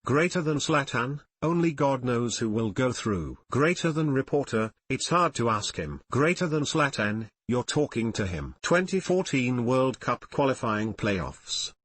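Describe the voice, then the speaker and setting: A man's voice: medium pitch, big pitch swings, measured pace, few pauses, fairly bright tone, breathy edge, dry recording. A man reading online forum comments aloud in a plain, matter-of-fact way. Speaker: comment reader.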